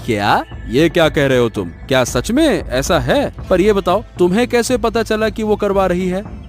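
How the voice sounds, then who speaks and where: Man playing an animated, concerned village father. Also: high-pitched, moderate pace, soft background music. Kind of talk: animated moral story dialogue.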